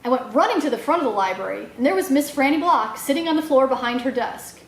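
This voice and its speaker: A woman reading a children's novel aloud in an expressive storytelling style, acting out the dialogue as she goes. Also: high voice, fast talker, roomy sound, slight background noise. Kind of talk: animated read-aloud narration for children.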